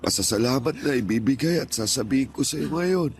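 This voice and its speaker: A man acting as a gentle, hurt older father in a radio drama, pleading earnestly and emotionally with his grown child. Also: mid-range voice, lively intonation, a little fast, gravelly, slight background noise. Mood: grateful, emotional. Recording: music playing behind the voice.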